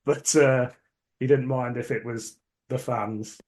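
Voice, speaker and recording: A man with a medium-pitched voice hosts a casual podcast. He talks informally and gives his opinions freely. Mild swearing slips into his conversational delivery. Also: fairly even intonation, takes it slowly, a little mellow. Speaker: podcast host. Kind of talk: casual chat about cult sci-fi dramas.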